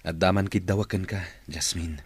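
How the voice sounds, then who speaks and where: A man's voice: low voice, steady tone, moderate pace, husky, no echo. An actor playing a smitten, insistent male office boss in an Ilocano-accented radio drama. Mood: insistent, coaxing. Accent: Filipino, Ilocano.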